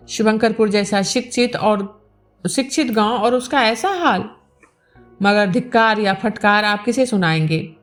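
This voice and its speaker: A woman narrating a village novel aloud as a storyteller, reading from a script. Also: fairly high pitch.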